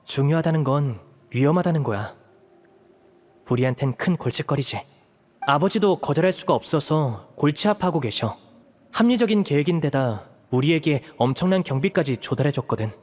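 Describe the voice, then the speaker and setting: A man voicing a composed, protective young elder brother in an anime-style game, explaining things in a measured, serious way. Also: on the high side, lively intonation, a little mellow, a little hiss or hum, natural voice. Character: composed young magician, protective eldest brother, earnest strategist.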